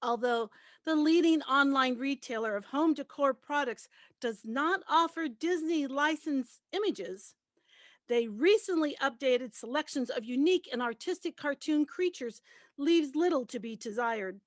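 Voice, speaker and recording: A woman's voice: sits high, animated, unhurried, dry recording, clean studio sound. A woman giving a dramatic reading of promotional copy. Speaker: panelist, radio and TV host. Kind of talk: reading a press release aloud as a dramatic reading.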